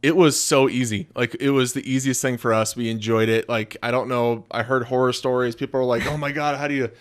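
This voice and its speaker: Male podcast host, mid pitch, relaxed banter, playful teasing. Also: fairly quick. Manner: joking, upbeat. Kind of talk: casual chat.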